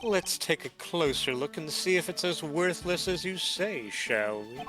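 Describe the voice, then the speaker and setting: A composed male courtroom lawyer played by a man, speaking slowly in a high register. His delivery is deliberate and wry, with an exasperated streak. Music plays clearly behind him. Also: animated. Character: composed, polite male lawyer probing the evidence. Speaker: amateur voice actor in a fan dub. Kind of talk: scripted courtroom drama read with joking asides.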